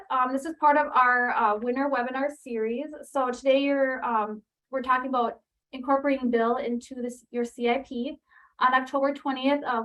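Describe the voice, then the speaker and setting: A woman opening a webinar, explaining the program and the call settings in a brisk way with ums and restarts. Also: on the high side, rough recording. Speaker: webinar host for a training program. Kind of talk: webinar introduction. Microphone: computer mic on a video call.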